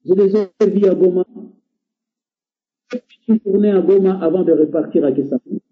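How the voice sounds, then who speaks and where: Congolese guy calling in, urging and earnest, kinda high voice, thin phone-like sound. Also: moderate pace. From Democratic Republic of the Congo. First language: Lingala or another Congolese language. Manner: earnest and exhorting, with frequent filler hesitations. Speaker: guest speaker. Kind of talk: impassioned call-in talk. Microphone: internet call. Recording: tinny phone-like line.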